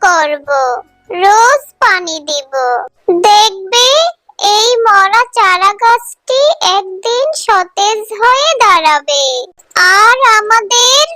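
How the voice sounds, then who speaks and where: Very high-pitched earnest little cartoon girl. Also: animated, slow, bright, forceful tone, no echo, full character voice. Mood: earnest, determined. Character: earnest, kind-hearted little animal girl Mina. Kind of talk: children's cartoon voice acting.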